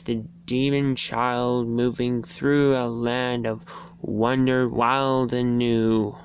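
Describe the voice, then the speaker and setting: A person reading verse and a storybook aloud as an amateur, halting and stumbling over words. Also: medium pitch. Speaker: amateur reader. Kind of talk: dramatic storybook and poem reading. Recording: faint background noise and hum.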